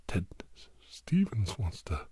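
A middle-aged man in a whispered ASMR podcast monologue, breathy and secretive, rambling haltingly and repeating words, close to the mic. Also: big pitch swings, dark tone, no echo. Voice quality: breathy whisper breaking into low murmur. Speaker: podcast host.

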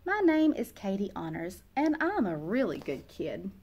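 Expressive woman reading a picture book. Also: mid-range voice, moderate pace, lo-fi sound. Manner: expressive, acts out a young child narrator's feelings. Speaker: read-aloud host, storyteller. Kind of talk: children's picture book read-aloud. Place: small indoor classroom or reading room.

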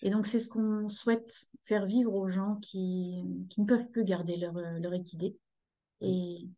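A woman's voice: mid-range voice, fairly even intonation, measured pace, warm tone, rough recording. A woman in her fifties talking at length on a video call from her living room, hesitating often. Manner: talkative, hesitant with many fillers. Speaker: guest, horse rescue association member. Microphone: laptop webcam on video call.

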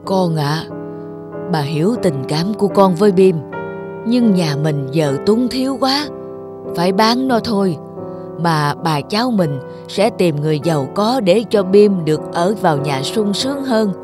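A woman's voice: lowish voice, animated, measured pace. Weary, loving old grandmother, music behind. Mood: sad, resigned.

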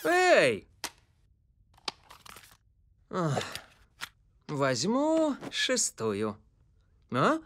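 A man playing a young, friendly, easily puzzled footballer in an animated cartoon dub. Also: sits high, drawn-out words, pauses often, a little hiss or hum, heavily acted. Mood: studious, puzzled.

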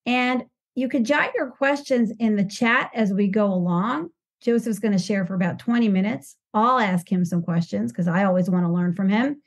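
A woman hosting a recovery podcast over a video call, introducing her guest in an upbeat, chatty, unhurried way. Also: medium pitch. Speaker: podcast host.

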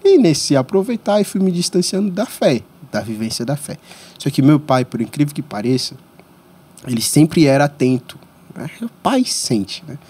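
A man in his thirties from Congo, whose first language is French, telling his life story as a podcast guest in a small studio, voice rising sharply when excited. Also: moderate pace, husky. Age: 30s. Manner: animated storyteller, pitch jumps when excited. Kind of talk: storytelling interview. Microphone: studio mic on boom arm.

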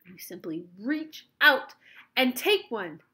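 A woman reading a children's storybook, voicing an excitable, eccentric male chocolate inventor who commands and exclaims like a showman. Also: fairly high pitch, takes it slowly, pitched above the performer's own voice, exaggerated voice. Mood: proud, grand.

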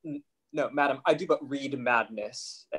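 Jester for a Shakespeare comedy, played by a man: cheeky, quick-witted, middle-register voice. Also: mid-range voice, fairly bright tone, slightly rough. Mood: playful. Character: impudent witty fool in a Shakespeare comedy. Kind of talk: live table read of Shakespearean verse drama. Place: home kitchen, video call.

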